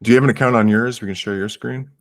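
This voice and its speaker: A man from the platform's team talks over a video call in a relaxed, conversational way. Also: mid-range voice, very fast. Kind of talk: casual work chat on video call.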